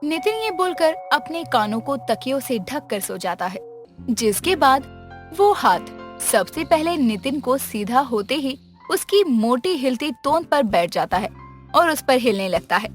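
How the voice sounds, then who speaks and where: A woman narrating a scripted village story in a dramatic storytelling style. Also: sits high.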